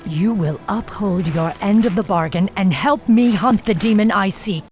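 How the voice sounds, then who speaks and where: A woman's voice: medium pitch, moderate pace, a little mellow, faint music behind, lightly acted. A woman playing a hard, driven night elf warden in a video game, stern and clipped, with a commanding, impatient manner. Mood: cold, commanding. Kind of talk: dramatic video game voice acting.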